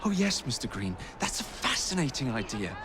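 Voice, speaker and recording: An actor with an English accent playing a cocky young assassin in a game, wry and roguish. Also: male voice, medium pitch, big pitch swings, moderate pace, heavy background noise, natural voice. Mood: teasing. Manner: wry, playful, teasing. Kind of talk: video game cutscene acting.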